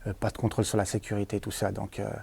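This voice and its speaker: Man, lowish pitch, soft dark timbre, casual vlog storytelling, colloquial, hesitant, trailing tags. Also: monotone, racing, gravelly, slight background noise. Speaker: vlogger, former tunnel worker. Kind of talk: casual rambling storytelling to camera. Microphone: handheld at arm's length.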